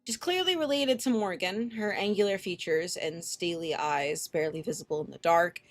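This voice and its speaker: A woman's voice: lowish voice, normal speed. A woman streamer reading a visual novel aloud expressively, with casual, sweary reactions.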